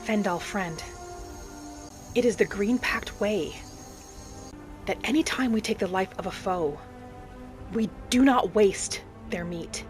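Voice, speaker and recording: A woman's voice: medium pitch, lightly acted. Woman voicing a serene wood elf wanderer over music. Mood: hungry, reverent. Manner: measured, formal storybook phrasing. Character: Venra, earnest female wood elf wanderer, serene and devout. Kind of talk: in-character roleplay narration of a fantasy video game.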